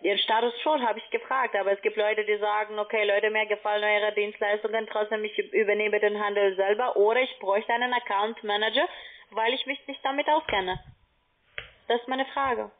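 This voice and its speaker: Foreign woman doing a pushy telesales pitch, not a native speaker, tinny phone audio. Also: fairly even intonation, fairly quick. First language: not German. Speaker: call-centre agent selling online trading. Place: phone call. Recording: tinny, band-limited phone line.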